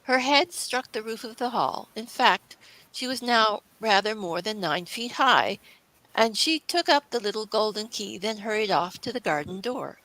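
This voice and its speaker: A woman narrates a classic children's story from a script. Her voice is bright and forward, at a middling pitch, in a dry, close sound. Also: lively intonation, normal speed, no echo, low-quality recording.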